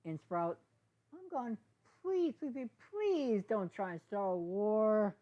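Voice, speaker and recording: A woman's voice: mid-range voice, expressive, slow pace, voice pushed up. Woman doing a diplomatic, imploring male pony. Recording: dull, lo-fi sound.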